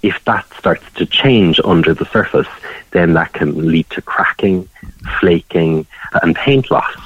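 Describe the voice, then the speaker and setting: A low-voiced man explains art in a measured, descriptive way for a radio interview. His husky voice comes over a dull, roomy remote feed. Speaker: art gallery guest describing a painting.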